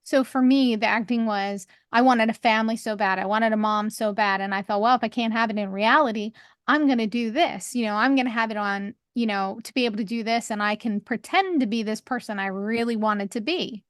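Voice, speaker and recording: A woman with a higher voice, co-hosting a podcast and talking openly in a rambling, candid way. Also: brisk, no room sound, clean studio sound. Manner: rambling, restarts, laughs often. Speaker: podcast co-host. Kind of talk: candid conversational chat.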